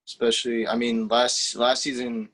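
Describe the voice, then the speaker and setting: A teenage man, a young footballer whose first language is Spanish, answering interview questions in a relaxed, halting way with many fillers and restarts. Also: medium pitch, rough recording. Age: teens. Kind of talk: casual interview.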